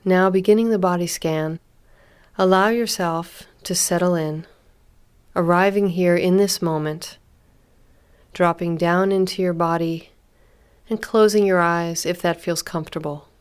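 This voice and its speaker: A woman leading a guided body scan meditation as an instructor, speaking calmly and gently in an unhurried way with long pauses. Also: fairly low pitch, smooth.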